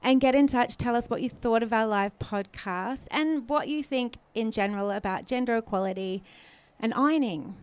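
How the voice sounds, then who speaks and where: A woman's voice: on the high side, normal speed, few pauses, smooth. An Australian woman hosting a live podcast in a casual, playful, wry way. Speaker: podcast co-host and performer. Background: loud music.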